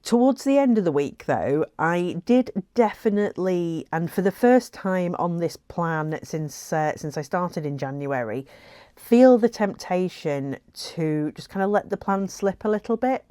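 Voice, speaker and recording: A woman's voice: on the low side, animated, a little slow, mellow, no room sound, clean studio sound. A woman talking casually to camera as a weight-loss vlogger, chatty and reflective with frequent ums and light laughs.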